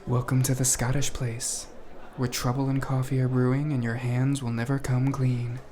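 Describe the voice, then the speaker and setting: A man acts a cool poetry-night emcee in an audio drama, wry and laid-back. His delivery is even and level, with crowd sound clearly behind it. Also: mid-range voice, moderate pace, natural voice. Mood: cool, inviting.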